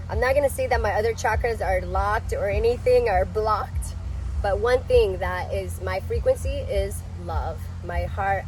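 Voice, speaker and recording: A woman's voice: mid-range voice, low-quality recording. Young woman, from Ecuador, upbeat casual chat, traffic noise behind. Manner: warm, chatty, upbeat, frequent "like" and "um". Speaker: guest, yoga teacher and event facilitator. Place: outdoors at a festival, traffic around. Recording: outdoor street noise.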